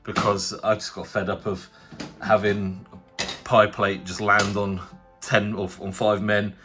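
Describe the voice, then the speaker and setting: A man's voice: on the low side, little pitch movement, a little slow, over music. Stuffy-nosed man chatting casually. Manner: chatty, rambling, frequent fillers and restarts. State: nasal from a cold. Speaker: tabletop wargaming channel host. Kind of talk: casual unboxing commentary.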